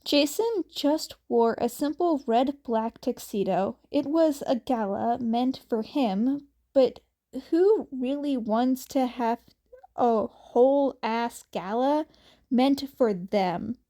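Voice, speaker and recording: A woman reading fan fiction aloud as an amateur narrator, in an informal, slightly halting way. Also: on the high side, lively intonation, dark tone. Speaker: amateur podfic reader.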